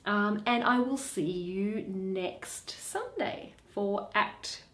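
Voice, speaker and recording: A woman's voice: medium pitch, drawn-out words, a bit bright. Woman chatting casually in an echoey room. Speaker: host and reader of a Shakespeare series. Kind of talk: casual chat to viewers. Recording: roomy, echoing.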